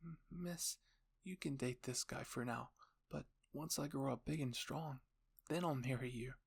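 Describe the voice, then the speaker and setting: A man's voice: medium pitch, steady tone, moderate pace, gravelly, breathy edge, no echo, clean studio sound. A man voicing a shy, earnest little boy in love, stammering and hesitant, in a story reading. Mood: determined.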